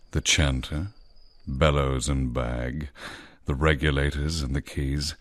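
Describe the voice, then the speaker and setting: An actor playing a smooth, sinister male devil who coaxes a man into trading his soul, speaking slowly and silkily. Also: very deep voice, full character voice. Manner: slow, silky, coaxing, menacing. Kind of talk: radio drama acting.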